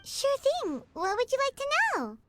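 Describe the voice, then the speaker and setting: A woman voicing a tiny, excitable, chatty companion sprite in a video game, expressive and easily flustered. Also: extremely high pitch, moderate pace, background noise, heavily acted. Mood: curious. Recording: music under the voice.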